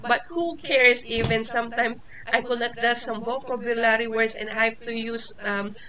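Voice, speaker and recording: A woman's voice: a little high, fairly even intonation, moderate pace, sharp tone. A Filipino woman in her forties whose first language is Tagalog, giving an informal lesson in English with many fillers, restarts and small laughs. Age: 40s. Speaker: teacher. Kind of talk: informal lecture.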